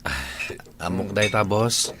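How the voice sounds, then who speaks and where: A man's voice: lowish voice, takes it slowly, a bit bright, slight background noise. A man acting a plain-spoken, concerned character in a radio drama. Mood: deferential, concerned.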